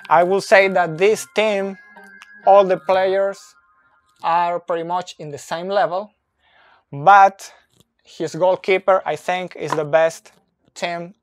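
Man explaining soccer tactics, non-native English. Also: high-pitched. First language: not English. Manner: slow, deliberate, with fillers and restarts, pointing at a board. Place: indoor room with a brick wall and whiteboard. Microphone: phone camera, close and moving.

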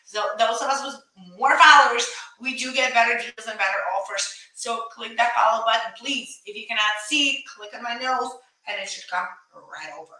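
A chatty woman in her thirties hosts a live shopping stream and talks eagerly to her viewers. Her voice is bright and a little high. It rings through an echoey small room, picked up from across the desk. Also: moderate pace, few pauses. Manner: chatty, excited, addresses viewers directly. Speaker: livestream shopping host. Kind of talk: casual live stream chat. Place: small home office at a desk. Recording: echoey room, mic far off.

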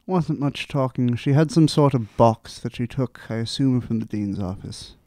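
Man playing a grave, mellow, measured demigod. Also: medium pitch, no echo, clean studio sound, natural voice. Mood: guarded. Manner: measured, terse, deliberate pauses. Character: grave, reserved, fate-minded male demigod adventurer.

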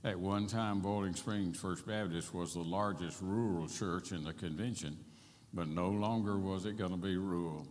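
Deep-voiced elderly preacher in echoing hall. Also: male voice. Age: 70s or older. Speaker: Baptist pastor. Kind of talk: sermon with personal storytelling. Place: large church sanctuary, at a pulpit. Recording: reverberant church hall.

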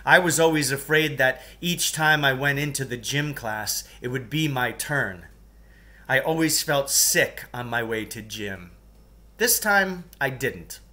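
A middle-aged man reading a first-person story aloud in an expressive storytelling style. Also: medium pitch. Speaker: teacher reading a book aloud. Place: living room at home, indoors.

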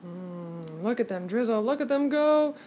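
A woman's voice: on the high side, expressive, measured pace, background noise. A woman hosting a home cooking video from off camera, with exaggerated, teasing mock-TV-host enthusiasm, recorded on a phone in a home kitchen. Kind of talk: playful mock TV-host banter. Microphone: phone camera from off screen.

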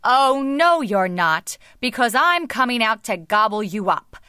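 Storybook troll, acted by a woman: crabby, hungry, menacing, loud and forceful, with swooping pitch. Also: sing-song, a little slow, clear, resonant tone, dry recording, studio quality, exaggerated voice. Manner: roaring, threatening. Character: great ugly troll, hungry, crabby, menacing male creature.

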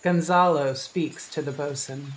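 A woman narrates scripted stage directions for a radio play. Her voice sits a little lower than most women's. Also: fairly even intonation, a little slow, low-quality recording.

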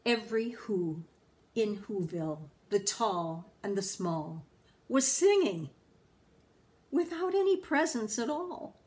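A woman in her sixties reading a children's storybook aloud expressively, deliberately and with emphatic pauses. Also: mid-range voice, very animated, darkish tone. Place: living room at home.

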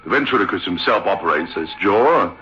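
A man's voice: low-pitched, expressive, fairly quick, pushed and bright. An actor playing an affable, sceptical theatre manager, chatty and hesitant, telling his tale in old-time radio drama style. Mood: incredulous, chatty. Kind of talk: old-time radio drama, conversational first-person storytelling. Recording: dull, lo-fi old radio recording.

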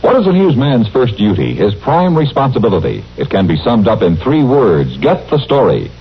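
A man's voice: medium pitch, big pitch swings, moderate pace, slight background noise. A man delivering an emphatic, polished promotional announcement in the style of 1950s radio. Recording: muffled old radio recording.

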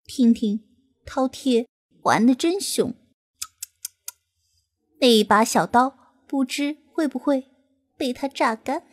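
A woman's voice: sits high, slow, pauses often. A woman voicing a mischievous female beast demon from a fantasy audiobook, coy, impudent and goading. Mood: teasing.

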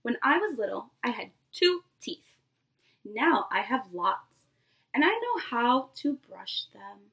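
A woman's voice: fairly high pitch, sing-song, takes it slowly, gravelly, fairly bright tone. A woman in her twenties, a preschool teacher, reading expressively to young children and addressing them directly. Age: 20s. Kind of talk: animated read-aloud to young children. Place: small bedroom, indoors.

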